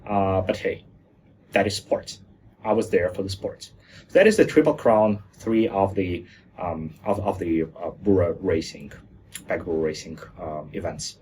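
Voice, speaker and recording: A man's voice: sits low, unhurried, darkish tone, slight background noise. A man from Germany giving a lighthearted presentation talk, joking along and stumbling over fillers now and then. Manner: jokey, lighthearted, with hesitant fillers.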